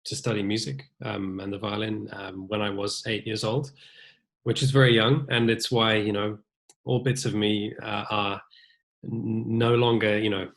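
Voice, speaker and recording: A young man with a British accent and a somewhat low voice, answering interview questions about his music. He speaks in a relaxed, searching way, circling back with fillers and restarts as he works out his thoughts. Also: normal speed, creaky. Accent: British English. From New Zealand. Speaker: violinist guest. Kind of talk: casual interview conversation.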